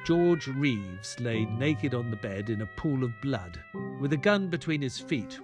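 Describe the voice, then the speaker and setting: A man playing a detective's loyal assistant, narrating an audio drama in a measured storytelling way. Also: mid-range voice, close to an everyday voice.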